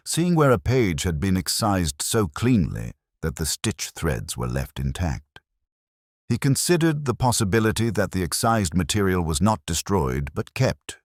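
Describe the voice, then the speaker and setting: A man narrating a literary mystery in a measured, dramatic storytelling manner. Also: low-pitched, dry recording, studio quality.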